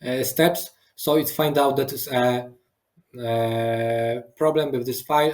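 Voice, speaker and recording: A man whose first language is Polish, speaking over a video call with halting phrasing and frequent restarts as a guest engineer in a webinar. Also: mid-range voice, a little mellow. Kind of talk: live webinar demo and Q&A.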